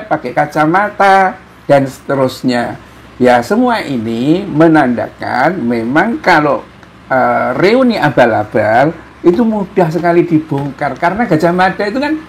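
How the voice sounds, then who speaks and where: A man giving informal, mocking political commentary to viewers, full of rhetorical questions and tag questions. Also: high voice. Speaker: commentator hosting an opinion show.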